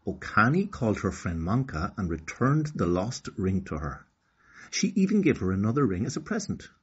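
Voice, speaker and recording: A man narrating a children's story as an expressive storyteller. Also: lowish voice, moderate pace, slightly rough, lo-fi sound.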